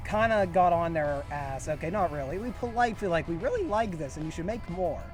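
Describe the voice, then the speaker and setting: Young male host, slightly high voice, casual joking banter, outdoor recording with music underneath. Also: expressive, brisk. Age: young adult. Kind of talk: casual video introduction with banter. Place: outdoors at a historic site.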